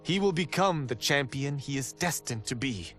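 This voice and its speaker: An actor playing a vain, self-assured martial arts hero turned teacher, narrating theatrically in a cocky way for a video game. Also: male voice, mid-range voice, expressive, moderate pace, fairly bright tone, faint music behind, lightly acted. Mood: self-important. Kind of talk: scripted dramatic game narration.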